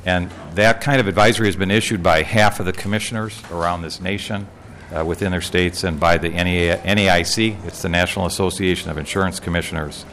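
Low-voiced male industry witness, hesitant formal testimony, faint background hiss. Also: fairly even intonation, a bit bright. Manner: halting, many "uh" fillers. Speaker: insurance industry representative testifying. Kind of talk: committee testimony. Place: legislative committee hearing room.

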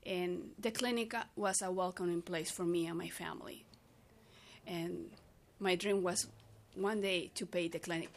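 A woman's voice: on the low side, slight background noise. A woman from Peru, a native Spanish speaker with a Peruvian accent, giving earnest testimony to a committee as an outreach worker. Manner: earnest, non-native phrasing.

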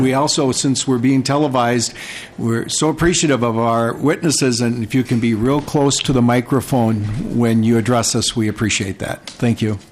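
A man's voice: medium pitch, a little hiss or hum. Polite man chairing a legislative hearing. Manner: procedural, polite. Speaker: committee chair.

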